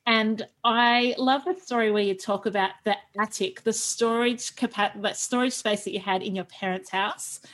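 Woman host, upbeat interviewer, mid-pitched, conversational. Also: steady tone, normal speed, hardly any pauses, fairly bright tone. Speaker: podcast host. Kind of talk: casual interview chat.

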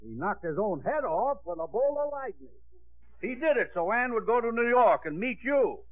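On a tinny vintage radio broadcast, a meddling old grandfather. His voice is high and very animated, blunt, bossy and excitable in 1950s radio-comedy style. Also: moderate pace. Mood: blunt, prodding.

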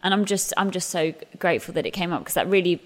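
Chatty woman in her thirties, low voice. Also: quick pace, in a large hall. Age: 30s. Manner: chatty, self-deprecating, frequent restarts and laughs. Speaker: musical theatre actress. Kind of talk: interview conversation.

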